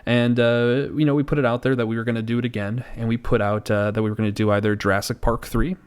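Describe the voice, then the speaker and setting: A man's voice: mid-range voice, flat delivery, fast, clear, resonant tone. A man co-hosting a podcast in casual comedic banter about movies, self-deprecating and mock-exasperated. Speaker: podcast co-host.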